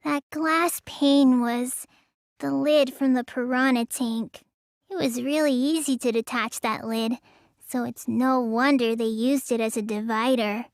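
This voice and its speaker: A woman's voice: fairly high pitch, steady tone, measured pace, dry recording, clean studio sound. A woman voicing a sulky, lazy teenage girl in a video game, with a pouty drawl that stammers when flustered. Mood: flat, explaining. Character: sulky, lazy teenage girl who claims real magic. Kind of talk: English video game voice acting.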